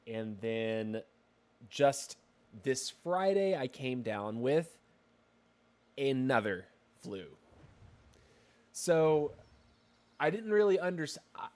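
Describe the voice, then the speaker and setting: A man chats to his livestream audience in an easygoing, rambling way. His voice sounds a bit congested and his throat slightly scratchy. He speaks slowly at a medium pitch. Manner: chatty, relaxed, rambling asides. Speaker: game streamer. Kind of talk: casual livestream chat.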